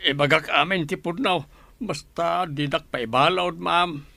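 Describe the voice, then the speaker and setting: An actor playing a frightened accomplice in a radio drama, pleading hesitantly to stay out of jail. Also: male voice, fairly high pitch, unhurried, creaky, no echo, full character voice. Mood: anxious, submissive. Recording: very noisy, steady hum behind.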